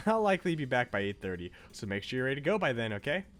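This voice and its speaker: A man's voice: medium pitch, sing-song, quick pace, faint music behind, pitched above the performer's own voice, close to an everyday voice. A man voicing a bubbly, proud, chatty middle-aged mom in a visual novel, gushing as she leaves a voicemail. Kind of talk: visual novel read-aloud.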